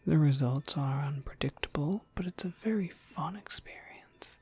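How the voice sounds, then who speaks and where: Woman playing a whispering, breathy witch shopkeeper. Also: deep voice, full character voice. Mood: gently inviting. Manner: unhurried, polite, softly explanatory. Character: gentle witch shopkeeper, courteous, quietly proud of her craft. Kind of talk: ASMR roleplay, whispered and soft-spoken.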